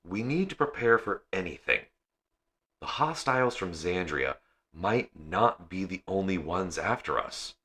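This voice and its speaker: A man's voice: deep voice, lively intonation, unhurried, sharp tone, heavily acted. A man voicing a loyal, concerned and supportive male starship crewmate in an audiobook story. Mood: wary.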